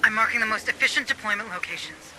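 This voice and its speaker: A tense, high-voiced military officer. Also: male voice, quick pace, bright, forceful tone, a little hiss or hum, poor audio. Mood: focused. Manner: urgent, technical briefing delivery. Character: serious, cautious military officer advising marines from base. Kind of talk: military video game voice acting.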